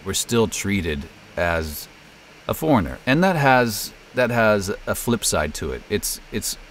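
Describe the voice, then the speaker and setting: A man's voice: lowish voice, sing-song, unhurried, a bit bright, a little hiss or hum. A middle-aged American man chatting casually in an interview as a voice actor and narrator. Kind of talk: casual interview, telling career story.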